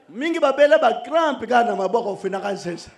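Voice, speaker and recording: Middle-aged male preacher, very high voice, lively, joking, shouts at times, stand mic, outdoor stage. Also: moderate pace, vocal fry, a faint crowd. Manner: animated, joking, switching between shouting and soft asides. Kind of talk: sermon. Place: outdoor church stage under a canopy. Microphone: stand microphone.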